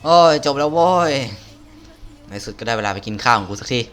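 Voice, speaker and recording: A man records casual commentary over a game he is playing. He talks to himself as much as to viewers, in loose, crude slang, with a medium-pitched voice. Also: animated, brisk, fairly bright tone. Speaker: gaming video creator.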